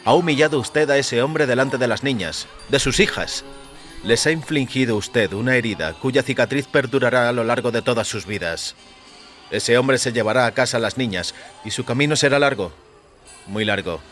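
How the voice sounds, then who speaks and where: A man's voice: medium pitch, normal speed, a bit bright, soft background music, pitched above the performer's own voice, lightly acted. A man voicing an indignant, articulate man, formal, emphatic and accusing, in an audio story. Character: indignant, articulate dying man, morally outraged avenger. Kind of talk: dramatised short-story dialogue.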